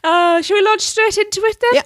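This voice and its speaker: A woman's voice: very high-pitched, brisk, no room sound, studio quality. A woman co-hosting a podcast, upbeat and giggly as she chats and asks questions. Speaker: podcast co-host. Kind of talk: casual podcast chat.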